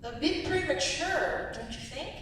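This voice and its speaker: Woman playing bright, wry princess, echoey hall. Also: expressive, a little slow. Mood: teasing. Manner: sardonic, bantering. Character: wry, teasing, self-assured young seafaring princess. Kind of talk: dramatic stage reading. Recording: very echoey hall, distant mic, rumbly noise.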